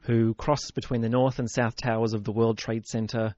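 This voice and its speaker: A man's voice: mid-range voice, little pitch movement, normal speed, darkish tone, no echo, dull audio. A man with an Australian accent reviewing films conversationally as a radio guest, thoughtful and a little hesitant. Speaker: film critic guest on radio. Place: radio studio. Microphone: close studio microphone.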